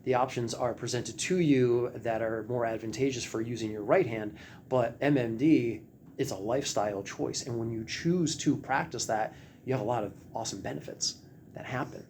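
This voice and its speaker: Presenter guy thinking out loud, mellow voice, bit of faint noise behind. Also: mid-range voice, normal speed. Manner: thinking aloud, frequent listening murmurs. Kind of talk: seminar discussion. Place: lecture room.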